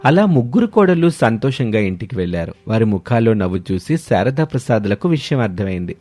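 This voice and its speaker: Man, storyteller, scripted moral-tale narration, very lively pitch, faint music behind. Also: a little fast. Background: background music.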